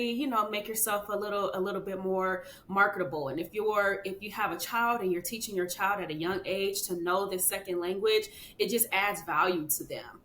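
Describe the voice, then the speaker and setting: A woman talking casually as a podcast guest, chatty, with many fillers and "you know what I mean" asides. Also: fairly bright tone. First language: English. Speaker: podcast guest, children's book author. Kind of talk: casual interview chat. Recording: echoey room with faint rumble.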